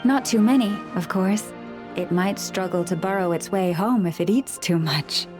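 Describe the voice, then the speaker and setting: A woman voice actor plays a gentle forest caretaker in a video game. She is a woman who speaks soothingly and with measured care at a middle pitch, kind toward every animal. Music plays behind her. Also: lightly acted. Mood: kindly. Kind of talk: video game character dialogue.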